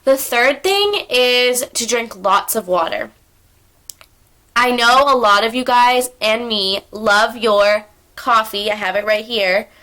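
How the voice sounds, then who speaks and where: A woman's voice: a little high, slow, sharp tone. Chatty young woman with a cold. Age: young adult. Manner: chatty, filler-heavy, tangents. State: stuffy nose from a cold. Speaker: vlogger. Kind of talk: casual sit-down vlog talk. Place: indoors at home.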